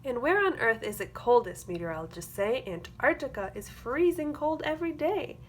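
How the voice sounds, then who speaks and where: A woman reading a children's picture book aloud as a teacher, with expressive, rhythmic delivery. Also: high voice, moderate pace. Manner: rhythmic, expressive delivery of rhyming verse with explanatory asides. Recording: clear background noise.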